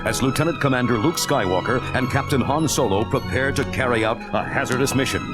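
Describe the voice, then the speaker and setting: A man's voice: mid-range voice, brisk, loud music behind the voice, close to an everyday voice. A man acting as a solemn storyteller, reading dramatic scripted narration for a space adventure.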